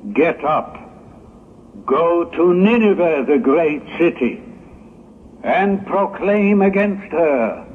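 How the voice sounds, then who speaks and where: Through a thin, phone-like old tape, a bold prophet played by a man proclaims doom in an old radio-style drama. He is solemn and declamatory, drawing his words out slowly in a fairly high male voice. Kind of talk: 1960s religious audio drama acting. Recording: muffled, phone-like, noisy 1960s recording.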